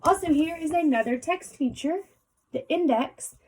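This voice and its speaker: A woman's voice: high-pitched, moderate pace, a little hiss or hum, rough recording. A woman reading a children's picture book aloud like a teacher, adding explanatory asides and speaking directly to young listeners.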